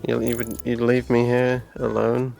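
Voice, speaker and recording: A man speaking casual skit dialogue as a friend watching along, flat and bewildered. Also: medium pitch, measured pace, vocal fry, soft background music.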